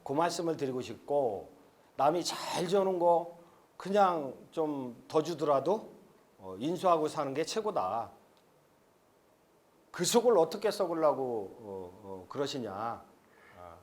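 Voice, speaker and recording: A man in his fifties lecturing to a live audience at an expo seminar. He speaks in a fairly high, animated voice that rises and falls a lot, talking frankly and rambling between points with plenty of "uh" fillers. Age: 50s.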